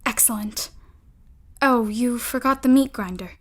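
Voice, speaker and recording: A high, girlish voice as a bossy big sister in a period audio drama. She coaxes and commands with theatrical flair, always scheming. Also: unhurried. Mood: bossy, conspiratorial. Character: bossy, scheming older sister, a girl in an old-fashioned household.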